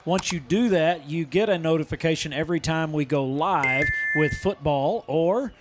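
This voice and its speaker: Guy calling a football game, bit raspy like his voice is coming back, on the higher side. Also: normal speed, heavy background noise. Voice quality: slightly raspy. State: voice recovering after losing it. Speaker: football play-by-play commentator. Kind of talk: live sports commentary.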